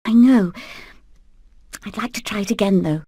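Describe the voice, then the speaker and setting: A woman's voice: mid-range voice, moderate pace, mellow, slightly rough, no room sound, clean studio sound. A woman voicing a determined young hen who leads an escape, earnest and urgent, in a cartoon video game. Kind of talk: animated film game voice acting.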